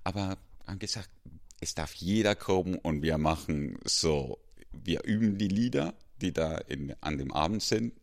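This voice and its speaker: Deep-voiced man with a South American accent. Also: expressive, gravelly. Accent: South American Spanish. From Ecuador. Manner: halting, restarts often, laughs easily. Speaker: music school head. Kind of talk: casual interview chat.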